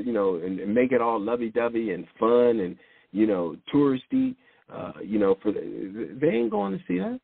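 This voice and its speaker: A man's voice: medium pitch, gravelly, husky, no echo. A man preaching informally in a rambling, blunt way, often repeating words and restarting. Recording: tinny phone-like sound, dry.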